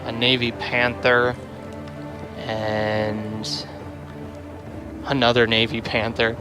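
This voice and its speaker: A man's voice: medium pitch, monotone, slow. Man rambling over game music. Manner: rambling, thinking aloud. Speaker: game developer livestreamer. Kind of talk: casual gameplay livestream commentary. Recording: music behind the voice.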